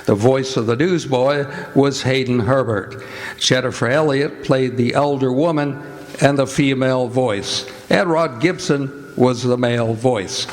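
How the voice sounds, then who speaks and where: A man announcing a live radio theatre show in a formal, deliberate old-time broadcast style. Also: medium pitch. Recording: live stage with music and audience. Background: music and audience noise.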